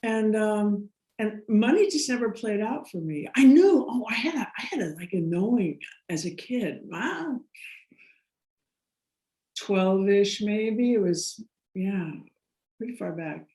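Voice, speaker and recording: A woman's voice: medium pitch, animated, slow, mellow. American woman telling stories in a roomy echo. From United States. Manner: rambling, laughs often, many restarts. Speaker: guest. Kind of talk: casual interview storytelling.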